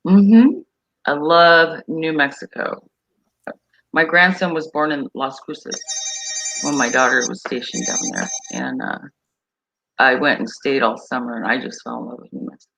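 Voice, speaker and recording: A woman's voice: rumbling low, big pitch swings, normal speed, gravelly, a bit bright, poor audio. A middle-aged woman hosting a casual livestream chat over a video call, a chatty storyteller who laughs easily. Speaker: livestream host.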